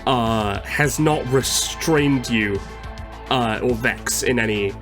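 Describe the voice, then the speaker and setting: Slow, deliberate man playing a tabletop game. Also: medium pitch, over music. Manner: halting, deliberate, pieced-together phrases. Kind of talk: casual improvised narration of own character's moves.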